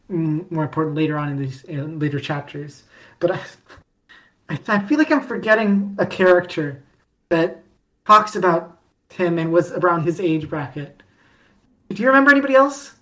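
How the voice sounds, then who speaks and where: Lower-pitched woman telling a mock-dramatic story. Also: expressive, moderate pace, fairly bright tone, low-quality recording. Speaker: podcast co-host. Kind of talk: casual fandom chat.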